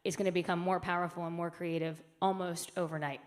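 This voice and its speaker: A woman's voice: lowish voice, level tone, fast. A woman speaking earnestly at a conference, with emphatic phrasing and frequent fillers. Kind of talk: keynote talk. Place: conference hall.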